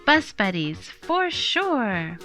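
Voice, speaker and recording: A woman's voice: on the high side, big pitch swings, drawn-out words, pushed and bright, faint music behind, heavily acted. A woman voicing a timid, friendly male turtle in a children's storybook, speaking tentatively. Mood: warm, relieved.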